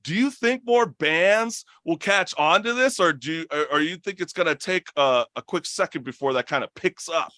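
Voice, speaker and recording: A man's voice: a little high, animated, normal speed, few pauses, pushed and bright, no echo. An American man hosting a casual interview show, talking in an enthusiastic, slangy hype style. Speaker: talk show host.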